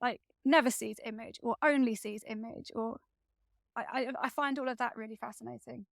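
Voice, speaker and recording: A woman speaking as a guest author in a reflective interview, thoughtful and often pausing to search for her words. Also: fairly high pitch, steady tone, gravelly, fairly bright tone, no room sound, clean studio sound.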